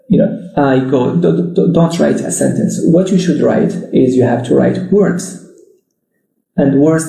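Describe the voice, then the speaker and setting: A man's voice: a little high, gravelly, mellow. A middle-aged man, a business marketing trainer, explaining a screen-share tutorial step by step in loose non-native phrasing, often checking with "right?". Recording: echoey room.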